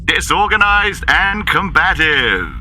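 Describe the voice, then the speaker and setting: Man acting a brash male sports commentator, forceful sharp tone, lively pitch, emphatic broadcast style. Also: takes it slowly, soft background music, heavily acted, low-quality recording. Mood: critical.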